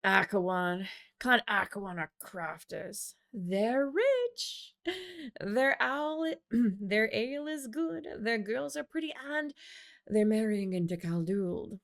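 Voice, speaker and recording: Woman as smug male fop, calculating, needling, creaky, fantasy audiobook character. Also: mid-range voice, lively intonation, slow. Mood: persuasive. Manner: smooth-talking, needling. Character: smug foppish calculating male guild officer.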